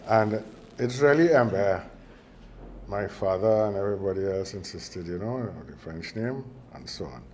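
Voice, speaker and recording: A man's voice: fairly low pitch, animated, moderate pace, darkish tone, a little hiss or hum. An older man with a Trinidadian accent giving a ceremonial speech, chatty and joking, wandering off into anecdotes with plenty of fillers and restarts. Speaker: university chairman at signing ceremony.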